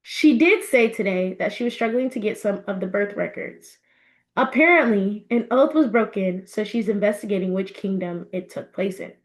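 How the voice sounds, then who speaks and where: A woman's voice: medium pitch, moderate pace, natural voice. A woman voicing an earnest, teasing young male best friend in a storybook, chatty and insistent. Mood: gossipy.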